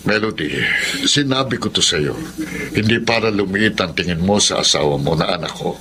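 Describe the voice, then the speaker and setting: A man's voice: deep voice, expressive, moderate pace. A man acting in a Filipino radio drama as an earnest, protective older father, speaking emphatically. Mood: grave, confiding. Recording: light background noise.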